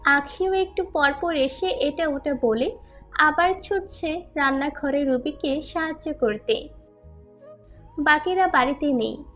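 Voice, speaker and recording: A woman's voice: high voice, takes it slowly, fairly bright tone, dull audio. A woman narrating a scripted romantic audio story. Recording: music under the voice.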